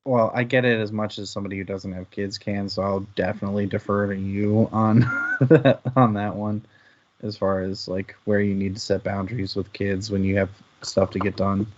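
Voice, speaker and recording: A man with a low voice chats casually as co-host of a book discussion. He rambles and restarts his thoughts as he works through them. The audio is somewhat dull and band-limited. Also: little pitch movement, normal speed.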